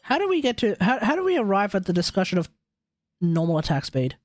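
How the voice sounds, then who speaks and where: High-pitched man rambling on a livestream. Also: very animated, quick pace, no echo, studio quality. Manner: rambling, searching for words, casually sweary. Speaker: game streamer. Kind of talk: casual livestream chat.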